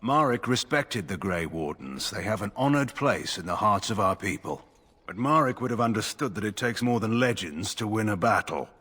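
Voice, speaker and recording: A man playing a stern, weary middle-aged nobleman general in a fantasy game, speaking in a formal, measured, curt way. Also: fairly low pitch, lively intonation, pushed and bright, husky, exaggerated voice. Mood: measured, proud. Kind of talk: fantasy video game dialogue.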